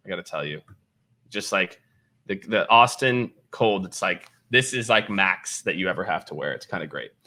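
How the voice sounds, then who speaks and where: A man's voice: low voice, lively intonation, normal speed, sharp tone. An American man hosting a casual livestream chat, talking informally and repeating phrases for emphasis. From Kansas City, United States. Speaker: host.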